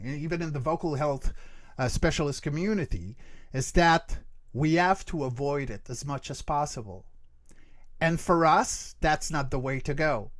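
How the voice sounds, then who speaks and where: A middle-aged man speaking as an expert interview guest, explaining earnestly and emphatically and often checking that the listener follows. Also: on the high side. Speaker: interview guest, creature voice actor and vocal coach.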